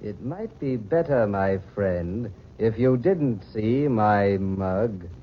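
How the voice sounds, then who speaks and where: A man's voice: fairly low pitch, animated, slow pace, slight background noise. An actor playing a mysterious, suave and sinister man in a 1940s radio drama, speaking formally and theatrically. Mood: quietly ominous. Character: mysterious, suave, sinister masked man telling his tale. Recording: dull old 1940s radio recording.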